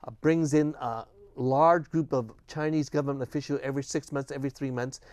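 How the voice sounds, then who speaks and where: A man's voice: mid-range voice, steady tone, darkish tone, no room sound. Older man, native Cantonese speaker, dry sound. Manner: earnest, unhurried, a few false starts. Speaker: festival volunteer guest. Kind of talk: casual interview answers.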